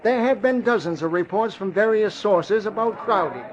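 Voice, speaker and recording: A man's voice: high voice, lively intonation, moderate pace. An actor playing a brash, suspicious newspaperman, emphatic and slangy, in 1940s radio style. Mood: insistent, suspicious. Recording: dull old radio recording.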